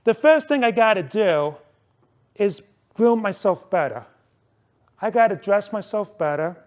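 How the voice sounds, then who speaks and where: A man in his forties with a high-pitched voice delivers a prepared speech to a club. He speaks deliberately and emphatically, repeating key words and posing rhetorical questions. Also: expressive, a little mellow. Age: 40s. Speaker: club member giving a speech.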